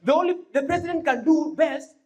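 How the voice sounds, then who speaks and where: A Kenyan-accented student debater delivers a fiery speech, hammering slogans and shouting points home. The voice sits high. A lively crowd fills the echoey debate hall behind it. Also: a little fast. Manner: punchy, rhetorical, repeats slogans, shouts points.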